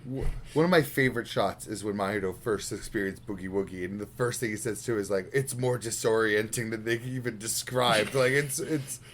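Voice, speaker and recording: A man co-hosting a podcast, chatting casually. He is laid-back, laughs easily and lets his sentences trail off quietly. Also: on the low side, brisk, few pauses, slight background noise. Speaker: podcast co-host. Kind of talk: casual chat.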